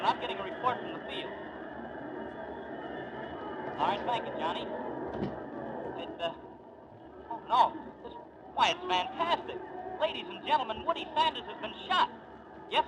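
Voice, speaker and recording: High-voiced old-time baseball radio announcer. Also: male voice. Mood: alarmed, shocked. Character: excitable male baseball play-by-play announcer, shocked by events. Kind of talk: 1940s radio acting, play-by-play commentary. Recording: noisy old radio recording, stadium din.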